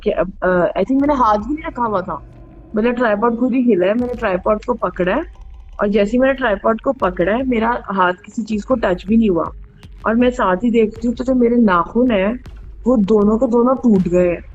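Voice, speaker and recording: A woman's voice: on the high side, moderate pace. A woman casually telling personal stories as a show host, chatty and mixing in English phrases. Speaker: host of true ghost story show. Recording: dull band-limited audio, background music. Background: music under the voice.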